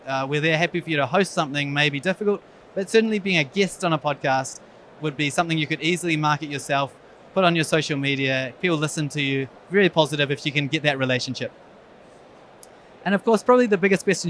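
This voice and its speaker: A man with a New Zealand accent gives a conference talk as an eye surgeon, with a somewhat high-pitched voice. He speaks frankly, with wry, self-deprecating humor. A faint background hum sits under his voice. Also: sharp tone. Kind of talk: conference presentation.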